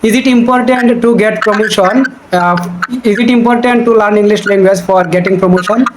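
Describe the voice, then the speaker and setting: Bangladeshi guy hosting a video call, friendly, calls people dear brother, high voice, lots of noise in the background. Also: fairly quick. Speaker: host. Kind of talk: casual video call interview.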